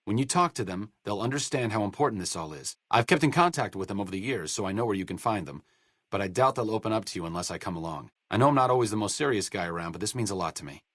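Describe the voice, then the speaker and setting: Game role: idealistic, articulate researcher with dry wit, low voice, very close dry studio sound. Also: male voice, expressive, fast, a bit bright, no echo. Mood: earnest. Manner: sardonic, articulate, understated. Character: dry-witted, bookish male doctor and researcher, idealistic and self-deprecating. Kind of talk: video game dialogue acting.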